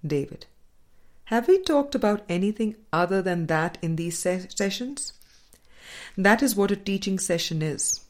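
A woman reading a spiritual dialogue book aloud as an audiobook, in a measured, deliberate manner with pauses. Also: mid-range voice, expressive. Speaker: audiobook reader.

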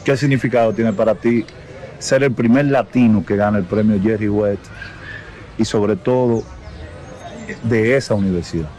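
A male reporter with a somewhat low voice puts his questions plainly and directly. His delivery stays businesslike throughout the interview. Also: normal speed, long pauses, warm tone. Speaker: sports reporter.

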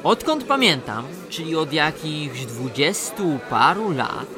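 An actor playing a put-upon, grumbling painter in a comic radio play, exasperated and bookishly wordy. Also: male voice, fairly high pitch, sing-song, slow, music in the background, a crowd in the background.

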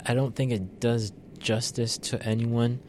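A man's voice: medium pitch, little pitch movement, a little slow, darkish tone, a little hiss or hum. A man co-hosting a casual political podcast, talking thoughtfully with lots of fillers and restarts. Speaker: podcast co-host.

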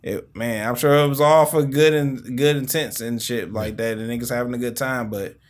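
A man in his thirties with a Midwestern American accent, co-hosting a casual podcast chat and telling stories, acting out quotes with big jumps in pitch. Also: steady tone, moderate pace. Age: 30s. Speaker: podcast co-host.

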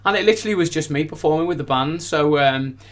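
A man's voice: fairly high pitch, fast talker. A man speaking as a musician guest, telling anecdotes in a casual, gushing, enthusiastic way. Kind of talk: casual anecdotal interview chat.

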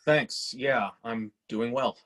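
An actor playing a detached, resentful grown son in a readers theatre drama. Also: male voice, medium pitch, slow pace, a bit bright. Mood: guarded, awkward. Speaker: actor in a staged reading. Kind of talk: dramatic inner monologue and dialogue.

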